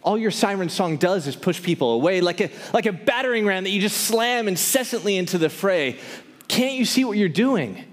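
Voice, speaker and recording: Impassioned male spoken word poet, animated pitch. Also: fairly quick. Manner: impassioned, emphatic, rhythmic rhyming delivery. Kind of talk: theatrical spoken word performance to a live audience. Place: large hall, live before an audience. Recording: reverberant hall, live stage performance.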